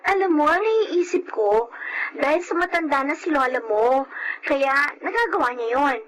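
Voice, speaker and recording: A woman playing a lively, supportive young school friend in a radio drama, emphatic and slipping between two languages. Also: high-pitched, moderate pace, slight background noise, rough recording. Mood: supportive, earnest. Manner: code-switches between English and Tagalog, emphatic.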